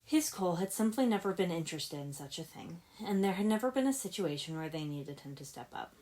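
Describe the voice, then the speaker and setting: A woman with a low voice reads fiction aloud. She delivers scripted narration as a storyteller, carrying both description and character dialogue. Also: lively intonation, fairly quick, dark tone, poor audio. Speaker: fan fiction narrator, podfic reader.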